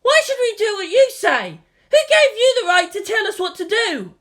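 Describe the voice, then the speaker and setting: A reader putting on an indignant protester from an audio story, yelling at a very high pitch. The heckler sounds sharp, angry and disbelieving. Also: very animated, normal speed, heavily acted. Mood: angry, defiant. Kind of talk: dramatic fan fiction reading.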